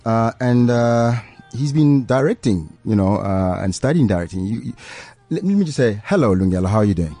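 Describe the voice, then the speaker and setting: A man's voice: mid-range voice, lively intonation, moderate pace, warm tone. A man with a South African accent hosting a casual studio chat, playful and chatty. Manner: playful, laughing, loose and chatty. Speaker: radio host. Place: radio studio.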